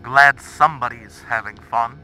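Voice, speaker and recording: An actor playing a menacing, gloating mystery villain who makes taunting, deliberate threats in a radio drama. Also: male voice, mid-range voice, fairly even intonation, pushed and bright, soft background music, poor audio. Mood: gloating menace. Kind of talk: comic radio drama acting.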